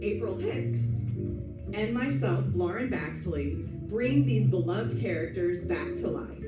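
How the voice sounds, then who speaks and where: A woman's voice: mid-range voice, expressive, slow. A woman hosting a radio players' show, giving a polished, formal scripted introduction. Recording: roomy, distant mic, loud music behind. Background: loud music.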